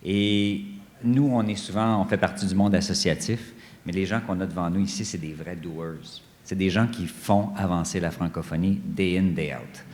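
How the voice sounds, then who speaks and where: A middle-aged man giving earnest, emphatic panel remarks, often pausing and hesitating. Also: low-pitched, fairly even intonation, darkish tone. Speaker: panelist at a study day; director general of an association. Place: university conference room. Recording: echoey conference hall with long reverb.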